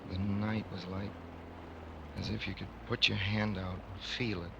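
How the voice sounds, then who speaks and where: A man's voice: low voice, little pitch movement, measured pace, vocal fry, highs cut off. An actor playing a smitten, naive young American racetrack hand, confiding his story in folksy, rambling speech in old radio drama style. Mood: hushed, tender. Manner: colloquial, folksy, rambling first-person confiding. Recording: noisy old radio recording, vehicle noise behind.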